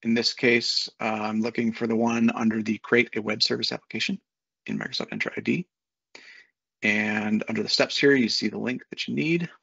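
A man in his thirties walking through a software setup on a screen share, talking casually step by step with fillers, recorded on a desk mic at home. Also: on the low side, steady tone, moderate pace, creaky, slightly rough, dry recording. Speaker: software consultant. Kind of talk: screen-share tutorial walkthrough. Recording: dull lo-fi dry sound.

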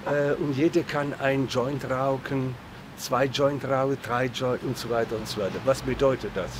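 A man's voice: mid-range voice, fairly even intonation, moderate pace. A man in his sixties giving an emphatic, repetitive opinion in a casual street interview. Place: outdoors on a city street. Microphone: handheld interviewer's mic. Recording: clear outdoor city noise.

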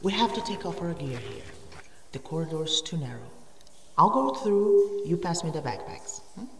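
A woman's voice: sits low, very animated, normal speed. A woman playing a headstrong, curious cave explorer in an audio drama, speaking in an eager, goading, dramatic way. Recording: echoey cave-like reverb, distant, faint noise.

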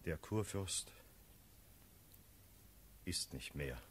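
Very deep, grave officer. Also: male voice, lively intonation, fairly bright tone, a little hiss or hum, full character voice. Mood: solemn, grave. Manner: solemn, measured declamation. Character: grave cavalry officer reporting a lord's fall in battle. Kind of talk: classical German radio play verse acting.

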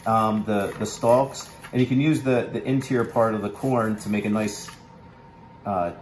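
A man in his thirties giving a relaxed, instructive cooking demo in a home kitchen, with plenty of ums and uhs. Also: on the low side, moderate pace, a little hiss or hum. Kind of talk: casual cooking demonstration. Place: large home kitchen, indoors. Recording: echoey kitchen room sound.

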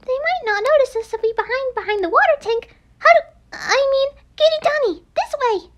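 A woman's voice: extremely high pitch, animated, normal speed, muffled recording, slight background noise, voice pushed up. A woman voicing a shy, starstruck teenage girl in an anime game, stammering and gushing. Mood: nervous. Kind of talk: amateur anime game voice acting.

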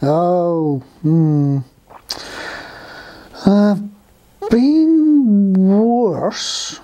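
A woman's voice: mid-range voice, extremely slow, dark tone, dull audio. A woman voicing a grumpy, sceptical male snail with a hesitant, doubtful drawl, in a children's story. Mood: weary.

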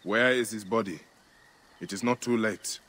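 A calm, deep-voiced warrior. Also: male voice. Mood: steady. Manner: calm, measured, formal. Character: grave, steady Egyptian Medjay warrior, father. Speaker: Medjay protector.

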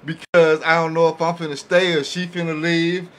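A man's voice: fairly high pitch, level tone, normal speed, a bit bright. A man talking casually and colloquially in a podcast panel, often checking that listeners follow. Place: small indoor podcast room. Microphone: boom-arm studio microphone.

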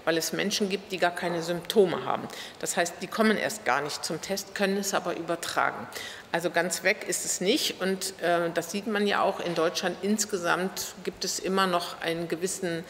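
A middle-aged female official answers interview questions off the cuff. Her voice is fairly low, with long room reverb and some background noise. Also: moderate pace, a bit bright. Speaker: city official being interviewed. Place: large wood-panelled room indoors.

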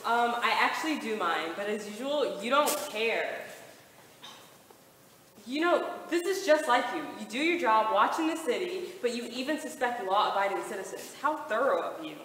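Lady acting a nervy hurt outcast woman in a play, bright high voice, super emphatic, big hall. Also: animated, moderate pace. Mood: guarded, defiant. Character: anxious, wounded outcast woman of an ancient city. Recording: echoey hall, far from mic, faint noise.

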